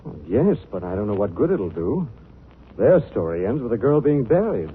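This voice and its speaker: An actor playing an urbane, composed gentleman detective in a 1940s radio drama, speaking calmly and politely. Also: fairly low pitch. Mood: thoughtful. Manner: calm, polite, measured. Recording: tinny, noisy 1940s radio recording.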